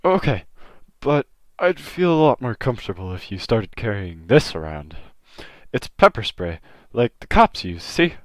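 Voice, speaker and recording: A man plays a caring, worried father in a comic dub. His delivery is earnest and slightly hesitant, with very animated intonation. The sound is dry. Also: fairly low pitch, measured pace, no room sound. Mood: concerned.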